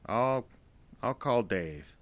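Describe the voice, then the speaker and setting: A man acting in an audio drama as a bitter, stubborn older American ex-soldier, grumbling in a gruff, dismissive, folksy way. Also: mid-range voice, animated, extremely slow.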